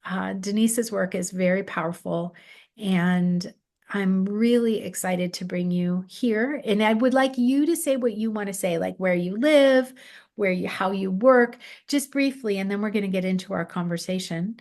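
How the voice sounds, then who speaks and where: A woman's voice: medium pitch, moderate pace, darkish tone. A woman hosting a conversational interview, reflective and playing with words, often affirming her guest. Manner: reflective, plays with words, many affirming fillers. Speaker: podcast host.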